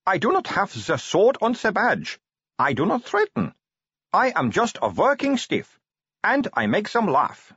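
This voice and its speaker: High-pitched, meek comical little vampire. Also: male voice, wildly swooping pitch, a little slow, a bit bright, husky, no room sound, dull audio, full character voice. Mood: meek, earnest. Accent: comical put-on foreign accent. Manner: meek, protesting.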